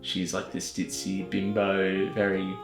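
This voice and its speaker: A young Australian man chatting casually as a filmmaker, self-deprecating and quick to laugh. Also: deep voice, monotone. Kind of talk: casual vlog narration. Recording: echoey room with music under it.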